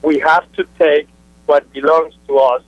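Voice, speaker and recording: A man with a Venezuelan Spanish accent calls in to a radio show, his slightly raised voice muffled and dry on the phone line. He talks in an earnest, halting way. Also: fairly high pitch, steady tone, no echo. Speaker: caller.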